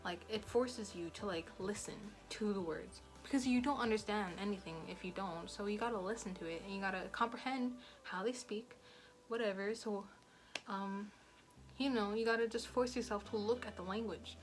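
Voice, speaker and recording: A woman's voice: mid-range voice, normal speed, faint music behind. A young woman giving casual language-study advice in a rambling, chatty way, full of fillers. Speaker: language learning vlogger. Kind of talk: casual talking-head advice.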